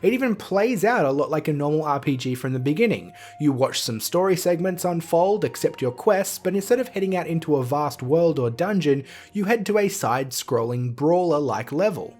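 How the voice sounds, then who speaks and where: A man's voice: fairly high pitch, animated, moderate pace, few pauses, a little mellow. A man narrating a scripted video game review as the reviewer. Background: music.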